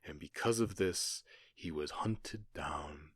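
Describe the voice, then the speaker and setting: A man playing a menacing, smugly courteous outlaw gang leader in a western audio drama, speaking with taunting, falsely polite pauses. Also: a bass voice, fairly bright tone, slightly rough, no echo, studio quality, exaggerated voice. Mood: storytelling, smug.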